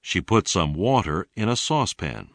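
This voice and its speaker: A man reading a scripted English lesson in a deliberate, clearly enunciated way. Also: deep voice, big pitch swings, fairly bright tone, dry recording. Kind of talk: scripted read-along narration.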